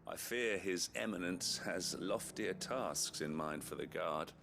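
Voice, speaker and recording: An actor playing a loyal, plain-spoken army captain in a fantasy game, sincere and courteous. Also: male voice, sits low, measured pace, creaky. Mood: courteous, friendly. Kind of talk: scripted game dialogue.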